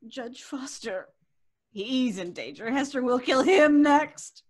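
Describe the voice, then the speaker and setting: Haunted, high-voiced radio-drama wife. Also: female voice, animated, slow, exaggerated voice. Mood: desperate, pleading. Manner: melodramatic, urgent. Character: haunted, frightened, insistent wife. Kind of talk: old-time radio horror acting. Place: room on a video call.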